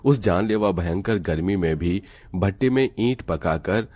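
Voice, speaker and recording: A man's voice: deep voice, animated, unhurried, darkish tone, no echo. A man reading scripted, dramatic narration as a storyteller.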